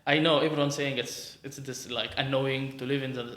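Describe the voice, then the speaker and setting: A man's voice: medium pitch, steady tone, a little fast, fairly bright tone. An Egyptian man with an Egyptian Arabic accent, a native Arabic speaker hosting a podcast in casual, sociable conversation. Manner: chatty and sociable, laughs easily. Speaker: podcast host. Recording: echoey room.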